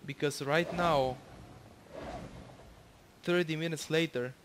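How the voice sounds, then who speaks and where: A man's voice: a little high, fairly even intonation, slow, a little hiss or hum. A young man from Bulgaria with a Bulgarian accent, casually commentating his own match, rambling with lots of fillers and the odd swear.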